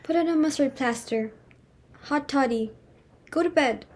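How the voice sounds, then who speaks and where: A woman acts out a haughty society hostess and mother from a stage comedy, with a high voice. She is imperious and quick to scold, easily flustered and full of indignation. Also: darkish tone. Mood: affectedly sympathetic. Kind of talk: dramatic reading of a stage comedy.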